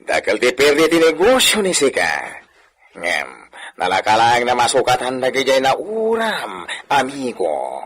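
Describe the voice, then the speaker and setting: A man's voice: mid-range voice, wildly swooping pitch, unhurried, a bit bright, slightly rough, heavily acted. An Ilocano-accented man acting a landowner's familiar, prodding friend in a radio drama, with a theatrical, questioning delivery. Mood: questioning, urging. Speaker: actor in a radio comedy drama.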